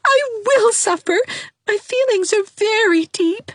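A high, quavering young woman in a storybook reading, weeping and overwrought, drawing out every word with theatrical self-pity. The voice sits far above the reader's own, slow and tear-choked. The recording is dry and close. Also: lively intonation, dry recording, heavily acted. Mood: tearful, melodramatic. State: crying. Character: melodramatic young woman, weepy and self-pitying.

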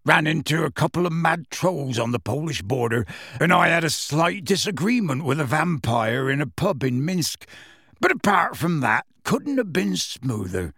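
A huge, gruff half-giant gamekeeper tells his tale. The voice is husky and rough, swinging freely in pitch, with folksy, unhurried phrasing. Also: very animated, a bit bright, no echo, clean studio sound, heavily acted. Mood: storytelling. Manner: folksy, ungrammatical, unhurried storytelling. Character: huge gruff half-giant gamekeeper, rustic, warm-hearted, plain-spoken. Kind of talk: audiobook character voice.

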